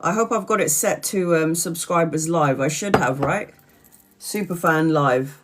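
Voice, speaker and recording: A woman's voice: low voice, a little slow. A congested woman tarot reader chatting casually on her livestream, tired and rambling.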